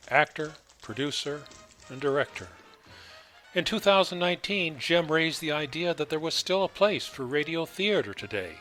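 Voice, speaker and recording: A man's voice: medium pitch, a bit bright. A man speaking as the host of an interview podcast. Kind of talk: scripted introduction, then conversational interviewing.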